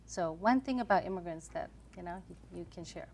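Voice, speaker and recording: A woman's voice: fairly low pitch, brisk, creaky. A woman hosting a panel talk show, asking questions and closing the episode. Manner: warm affirming listener, many soft mm-hmms.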